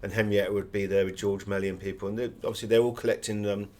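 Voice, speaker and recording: A man's voice: fairly low pitch, flat delivery, quick pace, a little mellow. A man speaking as a guest author in an interview, explaining things in a discursive, anecdotal way. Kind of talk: conversational interview, telling anecdotes.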